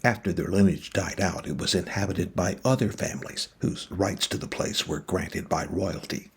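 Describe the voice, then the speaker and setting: A man narrating a travel show in a measured, even, scripted way. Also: low-pitched, vocal fry, husky.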